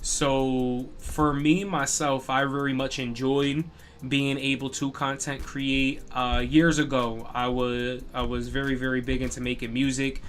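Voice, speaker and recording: A man's voice: mid-range voice, little pitch movement, unhurried. Laid-back young man hosting a stream. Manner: laid-back, chatty, frequent "you know" fillers. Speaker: streamer and podcast host. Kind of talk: casual live-stream chat.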